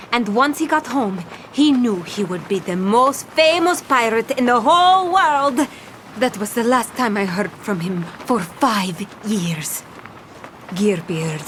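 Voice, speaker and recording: A bold woman pirate captain in a cartoon adventure, with a high voice that swoops theatrically. She is bossy and treasure-hungry, talking in salty pirate slang over a noisy backdrop. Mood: dramatic, determined. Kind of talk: animated cartoon adventure storytelling.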